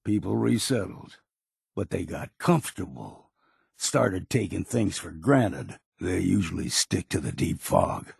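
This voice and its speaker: An actor playing a gruff, hard-drinking old island hunter and guide in a video game, curt and folksy, with a gravelly, raspy voice. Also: male voice, deep voice, very animated, measured pace, darkish tone, no room sound, clean studio sound, exaggerated voice. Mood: grave, instructive. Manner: curt, folksy, plain-spoken. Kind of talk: video game character dialogue.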